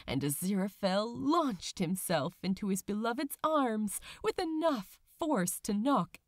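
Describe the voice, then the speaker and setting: A woman reading fiction aloud as an audiobook narrator, with dramatic, deliberate phrasing. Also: medium pitch, animated, no room sound, studio quality. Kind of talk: expressive scripted story narration.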